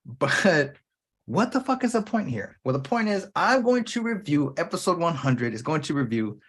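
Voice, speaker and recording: A man's voice: fairly high pitch, very animated, moderate pace, fairly bright tone, slightly rough. A man hosting his own movie podcast alone, talking off the cuff in a loose, rambling way and swearing freely. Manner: casual, unscripted, profane, jumps between thoughts. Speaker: podcast host. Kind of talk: rambling solo podcast talk.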